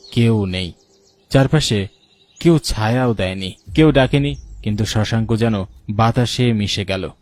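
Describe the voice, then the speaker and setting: A man narrating a scripted horror story in a measured, solemn manner. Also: on the low side, a little mellow. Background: music bed.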